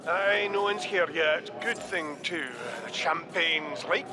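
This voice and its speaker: A man's voice: fairly high pitch, slow pace, crowd noise. An actor playing a crude, cruel trafficker who bullies his captives. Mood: gruff. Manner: crude, bullying. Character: brutal male human trafficker, crude and cruel.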